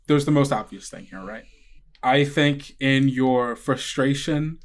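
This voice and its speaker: A man running a tabletop game as game master, narrating off the cuff in a halting, conversational way. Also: mid-range voice.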